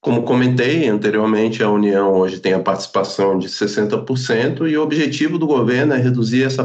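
A young man from Florianópolis with a mixed southern Brazilian accent, a company executive calmly explaining energy policy as a podcast guest. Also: on the low side. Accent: mixed southern Brazilian, Florianópolis with Paraná touches. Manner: measured, explanatory, occasional restarts.

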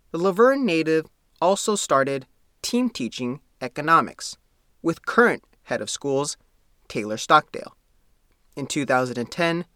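Man, reporter narration, somewhat high pitch, highly varied melody, formal measured reading, dry sound. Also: sharp tone, no room sound. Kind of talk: scripted narration of a profile story.

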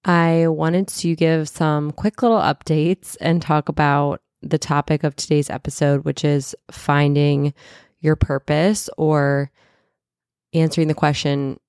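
A woman in her thirties hosting a casual solo podcast, chatty and full of "like"s, a little stuffy and raspy from a cold. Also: sits low, flat delivery, unhurried, darkish tone, dry recording, studio quality. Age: 30s. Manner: chatty, many "like"s and self-corrections. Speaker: podcast host and wellness coach.

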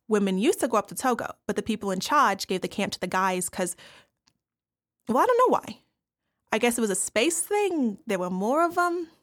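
A woman's voice: mid-range voice, natural voice. An actress playing a woman inmate who describes prison life in a wry, matter-of-fact way. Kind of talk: audio play monologue.

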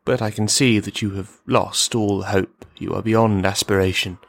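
A man's voice: fairly low pitch, fairly even intonation, normal speed, gravelly. A man playing an unhinged, paranoid loner who rambles on, taunting and insulting whoever is in the room, in an audio drama. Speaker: radio play performer. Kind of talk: radio drama monologue.

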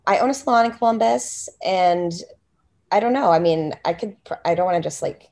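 Chatty low-voiced woman salon owner. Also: fairly quick, darkish tone. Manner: rambling, chatty, lots of fillers and restarts. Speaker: salon owner and hairstylist guest. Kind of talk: casual podcast interview.

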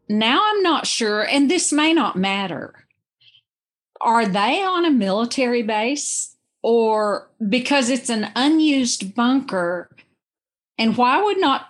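Female podcast guest, slow-paced reader's voice, casual but analytical, thinking aloud with questions. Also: on the high side. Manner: musing, questioning. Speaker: guest giving a reader's view. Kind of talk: casual, analytical book discussion.

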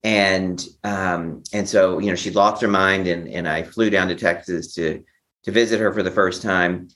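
A man giving a personal account as an interview guest, speaking haltingly, often repeating and restarting his phrases. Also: sits low, fairly bright tone. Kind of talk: personal recovery storytelling.

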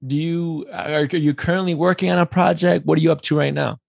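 A man's voice: fairly high pitch, fairly even intonation, brisk, vocal fry, no echo. A man hosting a casual podcast interview, chatty and playful, wandering through his questions. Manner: playful, joking, rambling questions.

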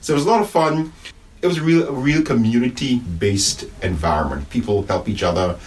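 A man from the Bahamas, an Anglican priest answering interview questions in a church office, speaking in a measured, thoughtful way with pauses and restarts. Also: medium pitch, a little mellow, recorded from a distance, slight background noise, rough recording. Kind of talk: reflective conversational interview.

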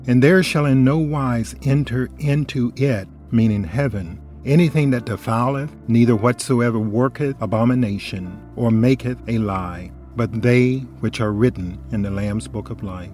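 A man's voice: mid-range voice, moderate pace, few pauses, darkish tone, soft background music. A man co-hosting a scripted radio Bible study, reading scripture in a solemn, exhorting tone. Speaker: co-host and Bible study presenter.

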